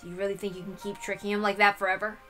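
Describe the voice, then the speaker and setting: A woman's voice: mid-range voice, flat delivery, racing, sharp tone, soft background music, lightly acted. A woman voicing a sullen, guarded teenage servant boy who is blunt and dismissive, in a visual novel reading. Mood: bluntly pessimistic. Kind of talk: visual novel read-aloud character voice.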